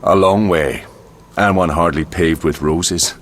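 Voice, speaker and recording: A man's voice: sits low, a little slow, slight background noise. A man playing a world-weary mercenary quartermaster in a video game, wry and speaking in clipped phrases. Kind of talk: video game dialogue acting.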